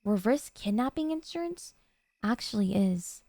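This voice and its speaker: A woman playing a sweet, possessive, obsessive girlfriend in an ASMR roleplay, speaking softly and dotingly with a breathy intimacy. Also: mid-range voice, animated, a little slow, dry recording. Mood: musing, explaining.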